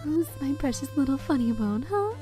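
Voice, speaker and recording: A woman's voice: sits high, lively intonation, moderate pace, music in the background. A woman playing a tender, proud skeleton mother in a dramatic fan comic dub, cooing and affectionate. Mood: doting, playful.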